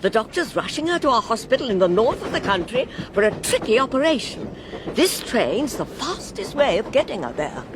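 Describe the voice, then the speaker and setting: An actress playing a kindly older English governess in a radio drama, effusive, fussy and eager to confide. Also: female voice, sing-song, fairly quick. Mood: confiding. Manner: effusive, fussy, calls the listener "my dear". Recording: train rumble and noise behind.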